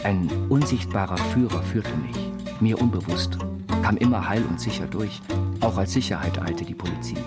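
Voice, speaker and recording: A man reciting literary text in a measured, declamatory way for an audio biopic. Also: deep voice. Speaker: reader of an inventor's writings. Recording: studio voice under loud music. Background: loud instrumental music.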